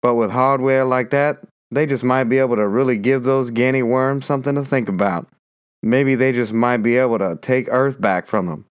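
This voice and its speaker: A woman's voice: very deep voice, normal speed, smooth, voice pushed down. A woman doing a swaggering, folksy schemer man. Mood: boastful. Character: boastful scheming middle-aged man.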